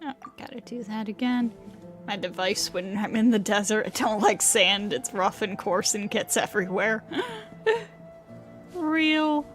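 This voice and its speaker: A woman's voice: mid-range voice, animated, measured pace, fairly bright tone, soft background music. A woman giving casual livestream commentary as she plays a video game. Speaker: game streamer.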